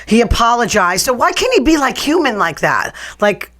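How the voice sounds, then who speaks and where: A woman's voice: a little high, big pitch swings, moderate pace, fairly bright tone. Woman hosting a chat show, blunt and sarcastic, voice kinda strained and worn out. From New England. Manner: chatty, blunt, sarcastic rants. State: strained, nearly lost voice. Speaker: podcast host. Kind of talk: casual talk show chat.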